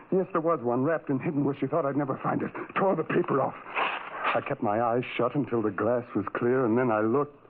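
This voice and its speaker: A man's voice: mid-range voice, phone-quality sound, background noise, lightly acted. An actor playing a tormented, self-loathing ugly man who narrates his life in anguished, brooding, repetitive phrases, in a 1970s radio drama style. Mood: feverish urgency. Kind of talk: 1970s radio drama acting, first-person monologue. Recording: thin vintage radio sound, music underneath.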